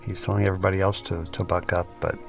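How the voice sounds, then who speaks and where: A man giving thoughtful, analytical film commentary in a measured, technical way, with the film's soundtrack underneath. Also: low-pitched, little pitch movement, creaky. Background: film music and dialogue.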